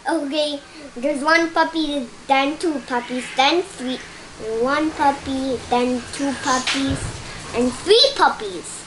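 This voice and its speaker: High-pitched child reading a picture book. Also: background noise. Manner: halting reading, stumbles and repeats words. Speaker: storytime reader. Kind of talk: read-aloud of a children's picture book with spoken asides.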